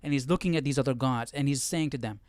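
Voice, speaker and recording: A man's voice: mid-range voice, fast talker, a little mellow, no room sound, studio quality. A man hosting a live Bible study, reading aloud and thinking through it in casual talk.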